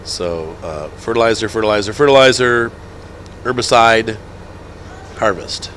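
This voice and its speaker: A man's voice: lowish voice, a little slow, a bit bright, slight background noise. A man with a head cold and a stuffed-up nose, casually chatting and commentating over a farming game, rambling and correcting himself as he goes. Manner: rambling, self-correcting, chuckling. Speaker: gaming channel host. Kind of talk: casual let's-play commentary.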